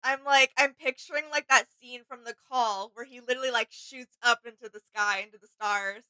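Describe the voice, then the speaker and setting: Lady casually chatting on a reaction show, bright smooth voice, no room echo. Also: a little high, steady tone, a little fast.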